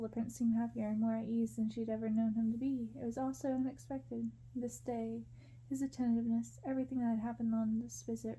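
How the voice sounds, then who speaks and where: Very soft, mellow woman reading a story. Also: on the high side, low-quality recording. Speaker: ASMR reader, storyteller.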